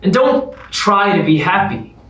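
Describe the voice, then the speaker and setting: Man acting a high-voiced musical comedy narrator, echoey stage. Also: sing-song, a little slow, fairly bright tone, far from the mic, full character voice. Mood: warm, reflective. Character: Oliver, upbeat sassy man, contest survivor turned narrator. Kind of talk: staged musical comedy reading, talk and song.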